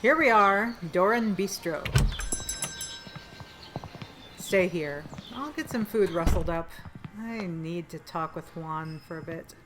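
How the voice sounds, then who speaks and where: A woman's voice: lowish voice, lively intonation, measured pace. A woman playing a practical, caring team leader in an audio drama, talking in an informal, reassuring and wry way. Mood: casual, wry. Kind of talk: naturalistic audio drama acting. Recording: rain and water noise behind.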